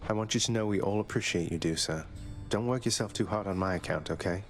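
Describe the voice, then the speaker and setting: A low-voiced, wry underworld prince. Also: male voice, quick pace, soft background music. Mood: courteous. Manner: dry, polite, sardonic. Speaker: video game voice actor. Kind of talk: scripted video game dialogue.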